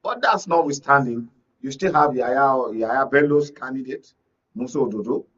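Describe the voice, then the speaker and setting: A man's voice: mid-range voice, expressive, normal speed, a bit bright, slightly rough. A Nigerian man giving emphatic political analysis in a discussion, repeating points and checking that the listener follows. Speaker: media analyst and guest. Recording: muffled, distant mic, lo-fi sound.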